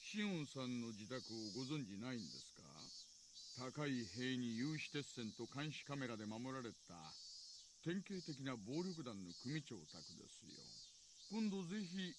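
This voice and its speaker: A man's voice: medium pitch, very animated, fast, background noise, natural voice. A man playing a sly middle-aged police detective in a Japanese visual novel, needling and falsely polite with an insinuating drawl. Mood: slyly probing. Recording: game music behind the voice.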